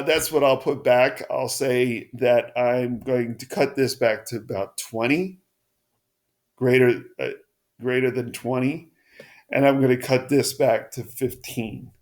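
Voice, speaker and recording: A man giving a casual weather forecast over a map. His voice is froggy and hoarse, and he talks haltingly with many restarts. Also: mid-range voice.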